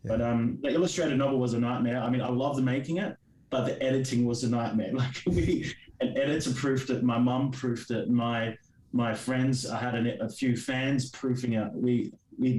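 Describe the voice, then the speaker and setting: A man's voice: mid-range voice, a little mellow. A man in his forties with an Australian accent and Greek Cypriot as his first language, rambling through a casual interview with many fillers and restarts. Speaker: guest comic creator. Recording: roomy, distant mic.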